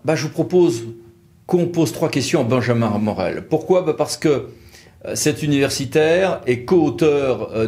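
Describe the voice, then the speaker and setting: A man's voice: medium pitch, a little mellow. A man presenting and putting questions to a guest in a conversational style, setting out his words phrase by phrase. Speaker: interviewer and presenter.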